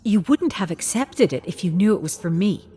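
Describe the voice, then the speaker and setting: A woman's voice: medium pitch, lively intonation, moderate pace, warm tone, slightly rough, voice pushed up. A woman voicing a determined young female gamer, an earnest, pleading and defensive daughter in a narrated story.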